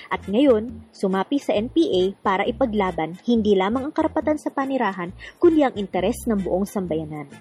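A woman's voice: on the high side, slightly rough. A woman hosting a radio drama, reading scripted narration and listeners' letters. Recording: faint music behind the voice. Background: soft background music.

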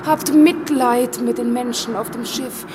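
A young actress playing a gentle, compassionate magician's daughter in a radio play, with a pleading, theatrical delivery. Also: female voice, fairly high pitch, measured pace, natural voice. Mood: pleading, anguished. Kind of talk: classic German radio play acting. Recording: clear storm noise behind voice.

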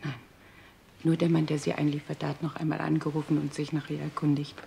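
Strict but soothing nurse, really low voice, bit of background noise, old radio play. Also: female voice, level tone, a little fast, creaky, warm tone. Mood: businesslike. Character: hushed, protective, businesslike hospital nurse. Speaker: actress in a crime radio play. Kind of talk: mid-century German crime radio drama acting.

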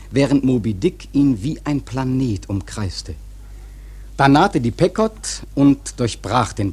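Male narrator, husky mid-range voice, dramatic literary storytelling for radio drama. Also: medium pitch. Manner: measured, expressive storytelling.

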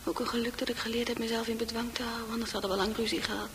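An actress voicing the inner thoughts of a withdrawn, resentful female psychiatric patient, breathy and mostly whispered, in a radio drama. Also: on the high side, level tone, racing, fairly bright tone, slight background noise. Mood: irritated. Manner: secretive, brooding.

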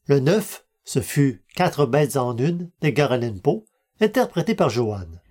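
A man's voice: mid-range voice, very animated, measured pace, a little mellow. A man hosting a podcast, reading scripted narration and announcements. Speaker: podcast host and reader.

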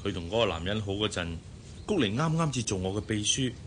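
An actor playing a smitten, insecure boss who talks hesitantly and earnestly in a Hong Kong Cantonese radio drama. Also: male voice, lowish voice, sing-song, brisk, fairly bright tone, slight background noise. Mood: defensive. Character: smitten, insecure boss courting his young secretary.

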